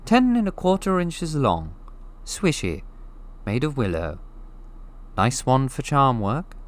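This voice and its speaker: A man voicing an eerie old male wandmaker who speaks softly and deliberately. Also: medium pitch, sing-song, pitched above the performer's own voice. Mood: musing. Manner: soft, deliberate, drawn-out delivery.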